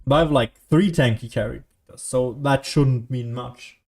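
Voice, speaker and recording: Mellow-voiced man rambling over gameplay. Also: medium pitch, moderate pace, creaky. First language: German. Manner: rambling, self-deprecating joking. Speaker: video game streamer. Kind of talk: casual gameplay commentary.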